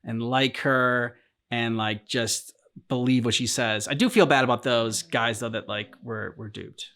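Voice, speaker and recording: A man talks casually as a reaction-show host, in a mid-pitched voice. He rambles through his commentary, stopping and restarting while he looks for the right words. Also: steady tone, bright, forceful tone. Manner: rambling, halting, searching for words. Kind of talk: casual chat, commentary on game cutscenes.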